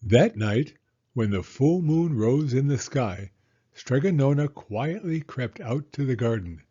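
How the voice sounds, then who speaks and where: Unhurried man reading a children's story. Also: medium pitch, lively intonation, mellow, breathy edge, lo-fi sound. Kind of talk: scripted children's story narration.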